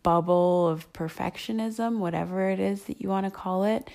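A young adult woman with a hoarse voice, rambling and thinking aloud with many ums as she hosts her solo podcast. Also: medium pitch, fairly even intonation, normal speed, a little mellow. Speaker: podcast host. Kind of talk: casual solo podcast chat.

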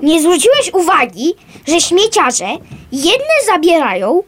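An actress playing a sassy, sarcastic, streetwise runaway little girl in a radio play, quick to snap back. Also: very high voice, huge pitch swings, full character voice. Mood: guarded, cheeky. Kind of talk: naturalistic radio drama acting.

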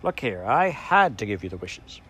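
A man's voice: fairly low pitch, very animated, moderate pace, pushed and bright, husky, exaggerated voice. A man voicing a grumpy, self-important magic sand creature in an audiobook, speaking in a cross, snappish way. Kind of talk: audiobook character voice.

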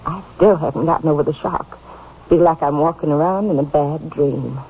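A muffled old radio recording of a small-town American aunt. Her voice is husky, and she speaks politely and chattily with a troubled, obliging manner. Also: sits low, normal speed. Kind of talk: 1950s radio drama acting.

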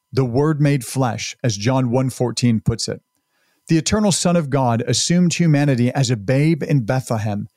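A man's voice: mid-range voice, a little mellow, dry recording, clean studio sound. A man reading a written article aloud as scripted narration. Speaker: article reader.

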